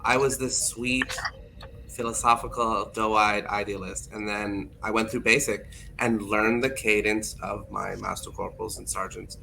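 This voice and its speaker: A man's voice: fairly low pitch, steady tone, a little slow, gravelly, noisy background. A man who works as a teacher, chatting casually on a podcast in a thoughtful, hesitant way and often correcting himself as he goes. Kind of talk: casual chat.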